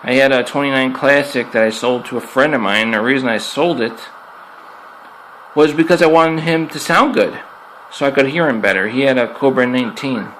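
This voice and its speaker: A man's voice: mid-range voice, normal speed, fairly bright tone, low-quality recording. A man giving a casual, unscripted hands-on review as a hobbyist, plainspoken and conversational, repeating himself for emphasis. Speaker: amateur product reviewer and radio hobbyist. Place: indoors in an apartment. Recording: amateur recording with clear background noise.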